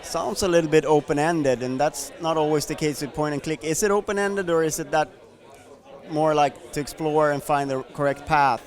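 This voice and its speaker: A man's voice: fairly high pitch, normal speed. Guy interviewer outside, kinda rambly questions, crowd murmur behind. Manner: loose, rambling questions with repeated words.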